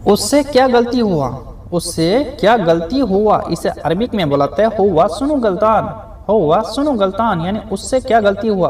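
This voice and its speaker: A man's voice: high voice, darkish tone. A man teaching spoken phrases in a beginner lesson, saying each phrase, repeating it and explaining it word by word. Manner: addresses viewers as friends, frequent "okay". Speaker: Arabic teacher.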